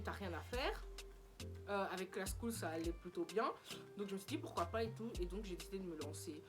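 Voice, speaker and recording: A seventeen-year-old woman from Belgium, chatting casually as a vlogger and mixing in English phrases. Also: medium pitch. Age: teens. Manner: chatty, mixes in English phrases, many fillers. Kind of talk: casual chat.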